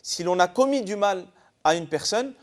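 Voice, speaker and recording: A man from eastern France speaks in a high, very expressive voice. He explains scripture like a teacher on a panel, posing questions and answering them himself. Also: moderate pace. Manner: didactic, poses rhetorical questions. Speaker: religious scholar guest. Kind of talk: explanatory religious talk show discussion.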